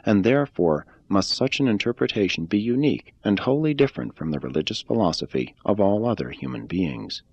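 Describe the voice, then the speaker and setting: A man reading a religious text aloud in a measured, deliberate way. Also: fairly low pitch, very animated, creaky, darkish tone, husky, dry recording, highs cut off. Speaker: reader.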